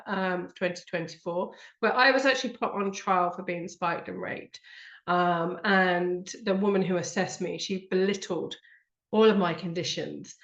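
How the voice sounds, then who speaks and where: A woman's voice: lowish voice, echoey room, muffled recording. A woman hosting an online talk, congested with a blocked nose, chatty and earnest with frequent ums. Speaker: host. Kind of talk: live online talk with slides.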